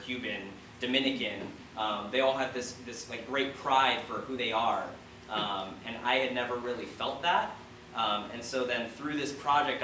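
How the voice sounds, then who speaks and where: A man's voice: mid-range voice, pushed and bright. A man in his twenties speaking casually and hesitantly as a student playwright in a panel discussion, with lots of filler "like". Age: 20s. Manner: hesitant, informal phrasing with frequent "like". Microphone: distant microphone. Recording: roomy, distant, faint noise.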